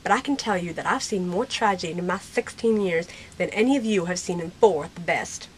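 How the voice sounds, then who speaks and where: A woman's voice: fairly low pitch, brisk, slight background noise, natural voice, low-quality recording. A woman playing a stubborn, outspoken sixteen-year-old girl with folksy Western phrasing in an amateur audio drama. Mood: bitter.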